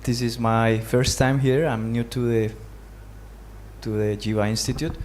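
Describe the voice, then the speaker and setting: A man's voice: mid-range voice, flat delivery, a little slow, a little mellow, a little hiss or hum. A man asking questions as a newcomer to a class, polite and tentative in a casual way.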